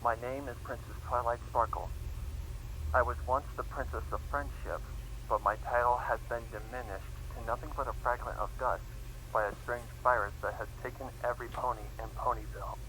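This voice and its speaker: A man's voice: mid-range voice, steady tone, brisk, sharp tone, slight background noise, natural voice, poor audio. A man voicing a grave pony princess scientist who solemnly records a formal plague log in a fan fiction reading.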